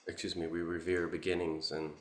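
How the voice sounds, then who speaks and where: A man guiding a meditation as a Buddhist teacher, contemplative and halting, with long pauses between short phrases. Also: low-pitched, level tone. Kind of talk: guided meditation, Buddhist dharma talk. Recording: roomy, echoing sound.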